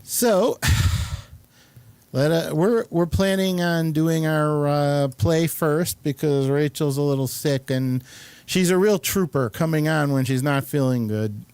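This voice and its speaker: A man's voice: on the high side, slow, a little mellow, clean tone, no echo. A man hosting a talk show, chatting casually with a rambling, informal and complimentary manner. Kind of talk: casual studio chat, introducing guests.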